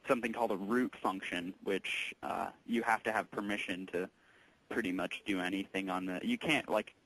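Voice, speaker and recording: Low-voiced man explaining tech, halting and informal. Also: fairly even intonation, fairly bright tone, breathy edge, rough recording. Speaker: electronics store tech support employee taking a call. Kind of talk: casual explanatory phone conversation.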